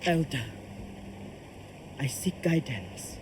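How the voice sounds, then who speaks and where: A woman's voice: rumbling low, sing-song, extremely slow, noisy background, exaggerated voice. A woman playing a proud, commanding and devout kraken priestess in a video game, solemn and declamatory. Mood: troubled. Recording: music behind the voice.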